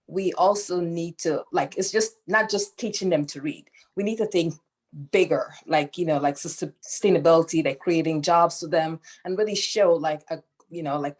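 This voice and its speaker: A woman's voice: sits low, darkish tone. A woman originally from Guyana who founded a nonprofit, speaking on a panel in a hesitant, unscripted way with many fillers and restarts, heard over a video call.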